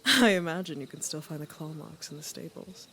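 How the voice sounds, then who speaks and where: A woman playing an aging queen, low and gravelly. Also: expressive, pushed and bright, full character voice, rough recording. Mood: wry, teasing. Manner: measured, deliberate, dryly teasing. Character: aging queen and mother, worried, wry, regal. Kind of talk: dramatic fantasy court audio drama.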